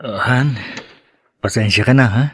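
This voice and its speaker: Husky, apologetic, evasive husband. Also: male voice, medium pitch, measured pace, dry recording. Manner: hesitant, placating. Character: apologetic, evasive young husband soothing his wife. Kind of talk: Filipino radio drama acting.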